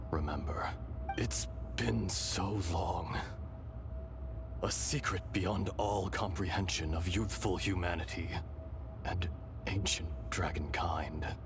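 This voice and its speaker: The benevolent remnant of an ancient dragon, with a very low voice that speaks slowly and solemnly, often dropping into a hushed whisper. Loud game music runs under him. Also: male voice. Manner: slow, solemn, half-whispered narration. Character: calm male remnant spirit of an ancient dragon. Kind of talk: video game voice acting. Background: loud game score.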